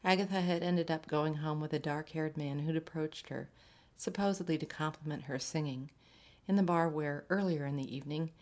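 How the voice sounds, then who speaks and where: Low-voiced woman narrating literary fiction. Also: moderate pace, a little mellow. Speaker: short story reader.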